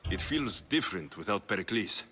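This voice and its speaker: An actor playing a blunt, wry, defiant young Greek mercenary hero in a video game. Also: male voice, lowish voice, animated, brisk, bright, forceful tone, a little hiss or hum. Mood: somber. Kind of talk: video game cutscene acting.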